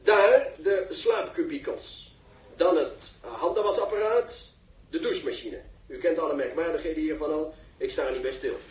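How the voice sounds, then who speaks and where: An actor playing a pompous, easily flustered live reporter in a 1960s radio drama, speaking in formal, florid phrases. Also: male voice, fairly high pitch, expressive, moderate pace, natural voice. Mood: self-important. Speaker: television reporter. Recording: echoey, distant 1960s radio recording.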